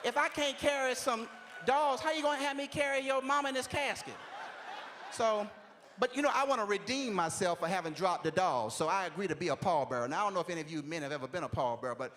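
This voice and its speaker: A man's voice: high voice, quick pace, fairly bright tone, a little hiss or hum. A man, telling conversational stories and leaning on "you know what I'm saying.". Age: 40s. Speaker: stand-up comedian. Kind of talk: stand-up comedy routine.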